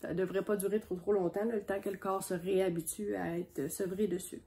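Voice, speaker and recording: Québécois woman vlogger, has a cold, stuffy and unhurried, rambling show-and-tell. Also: mid-range voice, flat delivery, dark tone, a little hiss or hum. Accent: Québécois French. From Quebec. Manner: chatty, meandering, homespun. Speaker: knitting vlogger on a sheep farm. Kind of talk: casual show-and-tell chat.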